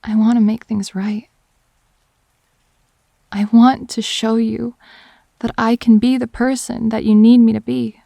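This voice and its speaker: A woman playing a grieving, apologetic girlfriend who speaks haltingly and tenderly in an intimate audio drama. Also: on the high side, flat delivery, creaky, dark tone, dry recording. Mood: pleading, hopeful. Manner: halting, tender, confessional delivery.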